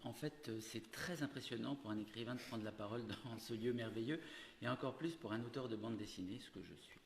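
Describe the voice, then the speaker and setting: A man who grew up in Syria, a native Arabic speaker, reading a measured, earnest formal speech as guest of honour. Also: mid-range voice. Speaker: guest speaker, comics author. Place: large ceremonial hall. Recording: echoey hall with faint noise.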